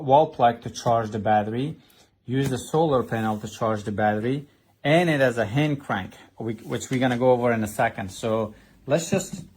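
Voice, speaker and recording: A man's voice: mid-range voice, a little mellow. Man giving a hesitant casual product demo. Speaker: product reviewer. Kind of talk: casual hands-on demonstration. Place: indoors at a desk.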